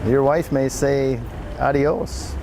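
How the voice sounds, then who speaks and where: A man's voice: medium pitch, flat delivery, slow, background noise. An older man co-hosting an advice talk show outdoors, easygoing, with dry humor. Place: outdoors in the sun.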